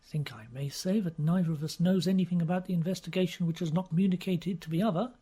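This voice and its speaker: A woman's voice: fairly low pitch, quick pace, mellow, slightly rough. A woman voicing a flustered, polite male detective who speaks hesitantly and stammers. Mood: gentle.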